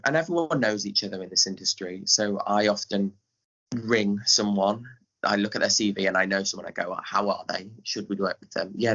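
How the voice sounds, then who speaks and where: Male interviewee, English accent, bright forceful voice on the lower side, animated storyteller, lo-fi sound. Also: creaky. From England. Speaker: guest, pantomime director and choreographer. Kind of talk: conversational interview. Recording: dull lo-fi audio.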